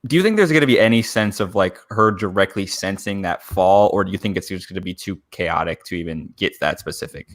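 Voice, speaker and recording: A man with a fairly low voice chats as a podcast co-host. The sound is close and dry, and the talk is casual and conversational. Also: a little fast, hardly any pauses, no echo. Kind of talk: casual fan podcast chat.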